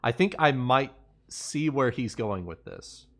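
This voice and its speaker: A man's voice: mid-range voice, expressive, a little slow. A man playing an online tabletop game, talking casually with the other players.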